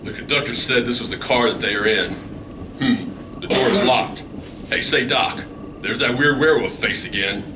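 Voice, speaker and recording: A man playing a gruff, excitable adventurer sidekick in an old-time radio play, with blunt, folksy exclamations. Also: mid-range voice, moderate pace, lightly acted. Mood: urgent. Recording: noisy live stage, distant microphone.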